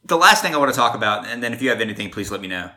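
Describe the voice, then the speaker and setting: A man's voice: fairly low pitch, expressive, rapid-fire, bright, forceful tone. A man hosting a sports podcast, chatting casually and teasing his co-host.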